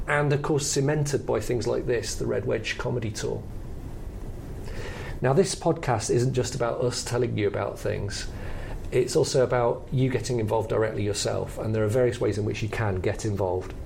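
Man with a creaky, reflective delivery. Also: medium pitch, normal speed, darkish tone, slight background noise, low-quality recording. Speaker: podcast host and comedy academic. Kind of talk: conversational podcast discussion.

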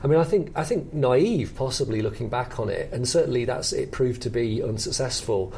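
A man's voice: medium pitch, expressive, brisk, vocal fry, darkish tone, slight background noise. A middle-aged man with a British accent co-hosting a podcast, talking through comedy history in a reflective, hesitant, explanatory way. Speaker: podcast co-host. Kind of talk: conversational analysis of comedy history.

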